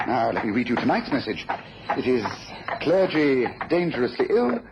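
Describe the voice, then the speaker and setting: An actor playing a shrewd, commanding British detective in a mid-century radio drama, speaking precisely and briskly. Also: male voice, medium pitch, big pitch swings. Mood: confident, explanatory. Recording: muffled, noisy old radio recording.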